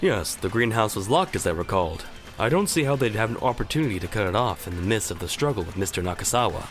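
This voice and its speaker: Man acting low-voiced, formal, squeamish young man. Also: lively intonation, fast talker, fairly bright tone, soft background music. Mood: uneasy. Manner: formal, hesitant. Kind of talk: audio drama acting.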